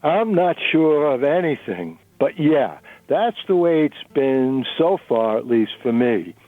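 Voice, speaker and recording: A man's voice: mid-range voice, very animated, takes it slowly, no echo. A man playing an uneasy, uncertain married man in a dramatic reading, speaking hesitantly and earnestly. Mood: uncertain, wary. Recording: narrow-band radio recording.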